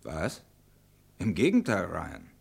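Gravelly, shrewd police inspector. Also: male voice, deep voice, wildly swooping pitch, slow, heavily acted. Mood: confident, musing. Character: shrewd, self-assured male police inspector. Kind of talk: vintage German radio crime drama acting.

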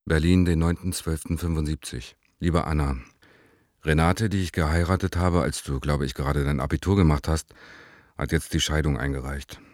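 A man's voice: very deep voice, fairly even intonation, normal speed, darkish tone, no echo, clean studio sound. An actor playing a despairing, self-loathing married man reading his letter to his lover in a radio play, in a sober, confessional manner. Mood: sober, weary.

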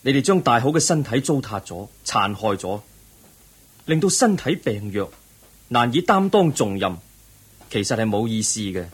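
A man acting in a Cantonese radio drama as a young prince seeking truth, earnest and measured, with a Hong Kong accent. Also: mid-range voice, very animated, breathy edge. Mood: earnest, firm. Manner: measured, earnest, declamatory, preaching.

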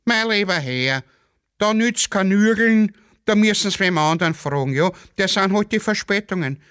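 A man's voice: high voice, lively intonation, normal speed, fairly bright tone, clean tone, no room sound, studio quality, voice pushed up. A man voicing a muddled, evasive Viennese railway porter who grumbles long-winded excuses in dialect. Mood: fatalistic, dismissive.

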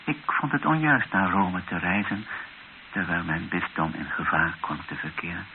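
Deep-voiced, weary missionary bishop. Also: male voice, vocal fry. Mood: reflective. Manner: reflective, grave, rhetorical, with deliberate pauses. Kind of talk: radio drama acting. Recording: hissy, dull old radio recording.

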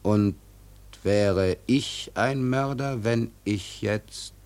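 Brooding, conscience-torn sailor: low register, drawn-out deliberate delivery, grave, literary radio drama. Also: male voice, darkish tone. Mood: brooding, agonised. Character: brooding, tormented sailor weighing a killing.

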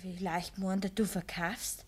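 An actress playing a naive young farmer's daughter in a radio drama, pleading earnestly in Bavarian dialect. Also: female voice, lowish voice, steady tone, a little slow, lightly acted. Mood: coaxing.

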